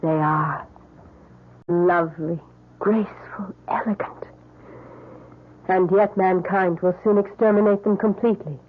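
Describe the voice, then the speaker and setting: An actress playing an earnest, genteel older millionairess and kindly philanthropist in an old-time radio drama, speaking theatrically. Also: female voice, fairly low pitch, steady tone, measured pace, pauses often. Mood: fond, proud. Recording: noisy, tinny old radio broadcast.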